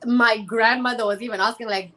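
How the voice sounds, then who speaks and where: A young woman from Zimbabwe, a guest in an interview, talking in a lively, chatty way with many restarts. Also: on the high side, fairly quick. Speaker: interview guest, former reality show contestant. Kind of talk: casual chat.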